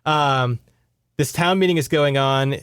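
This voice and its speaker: A man's voice: mid-range voice, a little slow, a bit bright, smooth, no room sound, clean studio sound. A man with an American accent chatting casually and wryly as he recaps a book as a podcast co-host. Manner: wry, informal, sarcastic.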